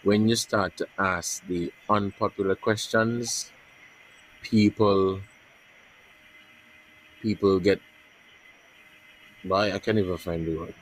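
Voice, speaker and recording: A man, a journalist answering interview questions in a measured way, often repeating words as he searches for them. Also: deep voice, steady tone, rough recording. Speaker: journalist guest.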